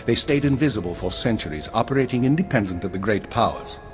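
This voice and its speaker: An actor playing a weary, regretful older intelligence minister in a video game, speaking in a measured, formal and authoritative way. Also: male voice, on the low side, expressive, close to an everyday voice. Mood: remorseful. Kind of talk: scripted dramatic game acting. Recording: orchestral music behind.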